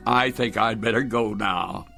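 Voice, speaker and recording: An actor playing a crafty, stubborn old retired clockmaker in a radio drama, wry and evasive. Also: male voice, fairly low pitch, unhurried, vocal fry, bright, forceful tone, full character voice. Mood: wry, sparring. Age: older. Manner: wry, evasive, turns questions back on the asker. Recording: music under the dialogue.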